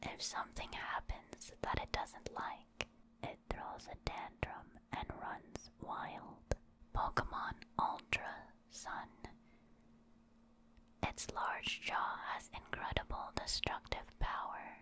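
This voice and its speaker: A woman reading short encyclopedia entries as an ASMR creator, in a soft, soothing and unhurried manner. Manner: soft, unhurried, soothing delivery with repeated words.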